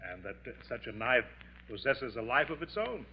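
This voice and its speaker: A man's voice: medium pitch, sing-song, normal speed. Radio mystery professor: florid, formal, slyly ominous showman; dull, faintly hissy vintage sound. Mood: grandly ominous. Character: smooth, theatrical male professor, slyly sinister lecturer. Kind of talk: 1950s radio mystery acting. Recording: muffled, hissy 1950s radio recording.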